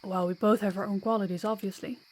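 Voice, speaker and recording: A woman's voice: medium pitch, fairly even intonation, quick pace, darkish tone, lightly acted. Female vampire guide voiced by a woman, mid-range voice, chatty, kind and teasing, for an audio roleplay. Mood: earnest, reassuring. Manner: chatty, reassuring, playful.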